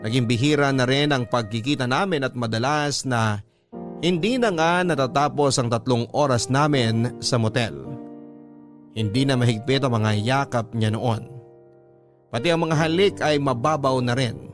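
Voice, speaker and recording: A man's voice: medium pitch, moderate pace. A man with a Filipino accent reading a first-person love story as narrator of a radio drama. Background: background music.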